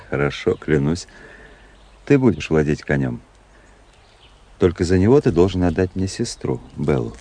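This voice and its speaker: An actor playing a cool, cunning young officer, a teasing and self-assured tempter, in a radio drama. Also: male voice, a bass voice, warm tone, exaggerated voice. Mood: smug.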